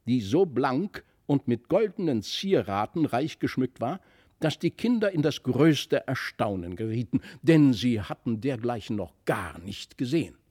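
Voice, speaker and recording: A man narrating a literary fairy tale from a script, with expressive, deliberate storytelling. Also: medium pitch. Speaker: audiobook narrator.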